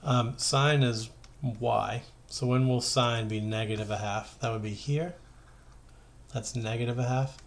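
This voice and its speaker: A man's voice: mid-range voice, fairly even intonation, a little slow. A man giving an informal math lesson as a teacher, talking conversationally and thinking aloud as he works through steps. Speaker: high school math teacher.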